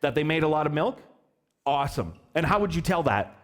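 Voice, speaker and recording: A man preaching a sermon as pastor, telling a story in an animated, joking way and asking the children questions. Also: a little high, fairly quick. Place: church hall.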